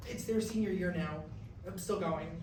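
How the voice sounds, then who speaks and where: A man's voice: high voice, moderate pace, a little mellow, close to an everyday voice. A young man acting an awkward, self-blaming college student in a staged reading, speaking casually and hesitantly. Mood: dejected. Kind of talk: staged musical reading, naturalistic dialogue. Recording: very echoey distant room, noisy.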